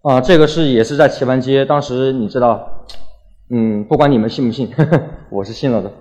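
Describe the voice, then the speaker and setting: Young male speaker from Wuhan, medium pitch, for an informal artist's talk; colloquial storyteller who acts out bits of dialogue. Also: fairly even intonation, brisk, darkish tone. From Wuhan, China. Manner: colloquial, acts out little exchanges. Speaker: graffiti artist giving a talk. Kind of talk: casual stage talk, anecdotal storytelling.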